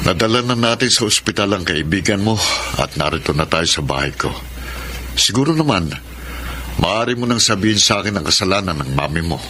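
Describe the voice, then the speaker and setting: A middle-aged man acting a gentle, concerned father in a Filipino radio drama. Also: low-pitched, animated, brisk, background noise. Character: gentle, concerned father calming his son.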